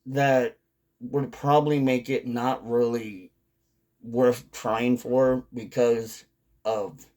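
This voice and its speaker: A man giving casual, rambling commentary to viewers, with drawn-out phrasing, pauses and some casual swearing. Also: mid-range voice, a little mellow. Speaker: video host, hobby commentator.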